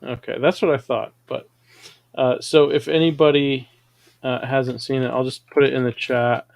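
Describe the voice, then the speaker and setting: A man's voice: medium pitch, flat delivery, moderate pace, creaky, darkish tone, dry recording. A man hosting a casual livestream chat, explaining ideas at an easy conversational pace with fillers. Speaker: show host.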